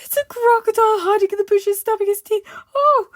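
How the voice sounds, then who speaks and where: A woman's voice: very high-pitched, brisk, no echo, studio quality, voice pushed up. A woman voicing a tiny, nervous male storybook character who shrieks and stammers in fright. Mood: terrified.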